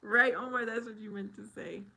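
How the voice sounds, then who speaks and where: A woman's voice: a little high, fairly even intonation, moderate pace, vocal fry, sharp tone. A woman live streaming and chatting casually with viewers, answering them by name and laughing often. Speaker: live streamer reading chat.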